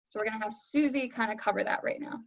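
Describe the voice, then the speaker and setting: High-voiced woman hosting an online panel. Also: fast, vocal fry. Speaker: panel host, moderator. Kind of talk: casual presentation to online audience. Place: video call. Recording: dull lo-fi online meeting audio.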